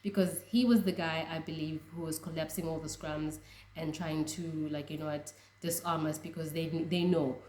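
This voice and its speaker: A young South African woman whose first language is Tswana, chatting excitedly about rugby with frequent laughs and restarts. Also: sits low, normal speed, echoey room, a little hiss or hum. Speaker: rugby fan vlogger. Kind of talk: casual reaction chat.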